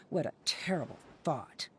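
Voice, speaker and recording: A woman's voice: sits low, big pitch swings, slow pace, slight background noise, exaggerated voice. An actress playing a proud, scornful female researcher in a video game, sardonic and haughty.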